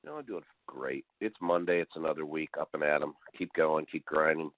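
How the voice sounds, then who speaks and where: A man's voice: a bass voice, a little fast, dry recording. A man calling in and chatting in a breezy, colloquial way. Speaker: caller. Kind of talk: casual chat. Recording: narrow dry phone line.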